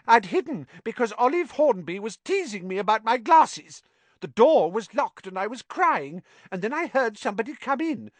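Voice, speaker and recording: A whiny, melodramatic teenage ghost girl, her voice pitched very high and swooping wildly as she wails and savours every gloomy detail, as a man reading a storybook puts her on. The voice is very close and dry. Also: no echo. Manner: high, wailing, relishing gloom.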